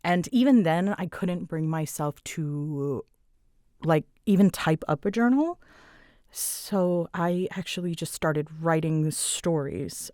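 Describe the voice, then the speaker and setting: A woman's voice: fairly low pitch, dark tone, clear, resonant tone, no room sound, clean studio sound. A woman creator answering audience questions in a casual, rambling chat, candid and often stopping to rephrase herself. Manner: halting, self-interrupting, candid.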